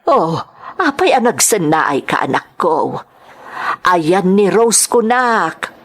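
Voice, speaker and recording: Audio drama part: fussing middle-aged grandmother female actor, tender but anxious, expressive swings in pitch. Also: slow pace, full character voice. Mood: fussing, questioning. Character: doting, anxious grandmother, mother of grown son. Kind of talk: Ilocano serial audio drama acting.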